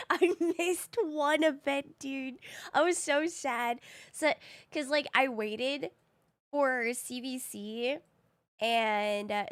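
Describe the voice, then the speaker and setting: High-voiced woman, casually streaming a game. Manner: slangy, informal. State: groggy voice, recently woken. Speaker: live streamer. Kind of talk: casual gaming stream chat.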